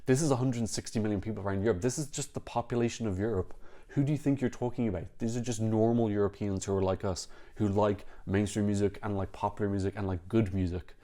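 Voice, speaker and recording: Low-voiced man giving blunt opinions. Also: steady tone, dark tone. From Ireland. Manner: frank, critical, talks in quick bursts with restarts. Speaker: Eurovision reaction vlogger. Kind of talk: opinionated casual commentary to camera.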